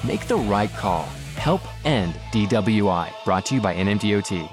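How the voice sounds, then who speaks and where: A man narrates a public service ad like a short story. His pitch moves widely, and loud music plays beneath. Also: expressive, moderate pace.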